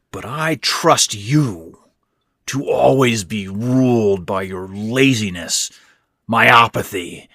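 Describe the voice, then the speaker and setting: A man acting a bitter, rasping old millionaire. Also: on the low side, sharp tone, no room sound, clean studio sound, pitched above the performer's own voice, heavily acted. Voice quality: hoarse, rasping. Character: frail, bitter, wealthy old stepfather on oxygen, scornful.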